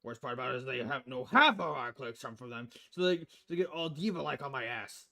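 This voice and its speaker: A man's voice: mid-range voice, animated, a little fast, gravelly, pushed and bright. A man voicing a crass, cynical, money-loving newspaper editor in a visual novel, brash and foul-mouthed in manner.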